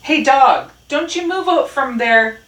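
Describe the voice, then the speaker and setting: A woman's voice: on the low side, moderate pace, natural voice. A woman voicing a grumpy, unfriendly male rat in a children's storybook, curt and grumbling, in a roomy recording. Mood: shouting, cross. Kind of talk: children's storybook read-aloud. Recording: roomy, distant mic, faint noise.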